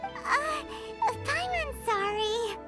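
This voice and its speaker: A woman voicing a tiny, cheeky, childlike flying fairy in a video game, squeaky and cutesy. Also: expressive, drawn-out words, loud music behind the voice, heavily acted. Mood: sheepish.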